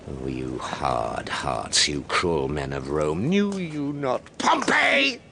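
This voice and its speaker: Indignant Roman official, wildly swooping delivery. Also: male voice, rumbling low, sing-song, takes it slowly, gravelly, sharp tone, slight background noise, heavily acted. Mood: outraged, reproachful. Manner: haughty, oratorical. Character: stern, indignant Roman official scolding commoners. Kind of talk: radio Shakespeare acting, declamatory.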